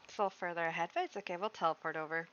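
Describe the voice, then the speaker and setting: Bright-voiced woman streaming a game. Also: fairly low pitch, very fast, lo-fi sound, a little hiss or hum. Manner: self-deprecating, chatty, muttering reactions. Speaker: live streamer playing a game. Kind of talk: casual game stream commentary.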